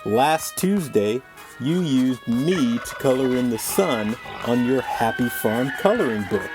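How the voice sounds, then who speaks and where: A woman voicing a self-important yellow crayon, smug and insistent, in a storybook read-aloud. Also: very deep voice, animated, takes it slowly, music in the background, heavily acted.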